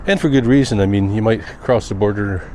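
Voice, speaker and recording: A man talking off the cuff in a personal vlog, reflective and rambling with thoughtful pauses. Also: fairly low pitch. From Canada. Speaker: daily vlogger. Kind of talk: unscripted personal vlog monologue. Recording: noisy outdoor recording with vehicle sounds.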